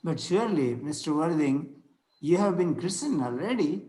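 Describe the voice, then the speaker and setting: A man playing a courteous, solemn Victorian clergyman in a play read-through, speaking formally and a little haltingly. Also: on the high side, animated, mellow, natural voice, low-quality recording. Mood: courteous, earnest. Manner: formal, preachy, halting as he reads. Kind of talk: amateur play table read.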